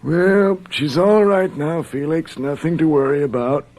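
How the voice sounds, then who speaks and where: An actor playing a kindly, discreet family doctor in a radio drama, measured and reassuring with slight hesitations. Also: male voice, on the high side, darkish tone, natural voice.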